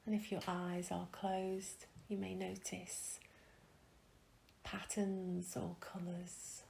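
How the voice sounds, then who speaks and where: A woman leading a guided meditation in a gentle, calm, soft and breathy voice, with long pauses, often trailing into a whisper. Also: fairly low pitch, a little hiss or hum. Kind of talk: guided meditation, soothing instruction.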